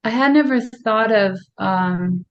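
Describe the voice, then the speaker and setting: High-voiced female student, halting and thoughtful, heard over a dull lo-fi video call. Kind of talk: question in an online class. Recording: dull lo-fi sound.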